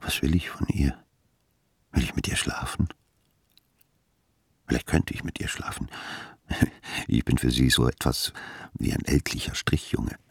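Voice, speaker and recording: A weary middle-aged writer broods in a radio-play monologue. His voice is extremely low and very rough, recorded dry and close. He mocks himself and sounds full of longing. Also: a little slow, pauses often, no echo, heavily acted. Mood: confiding, longing. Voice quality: gravelly, breathy.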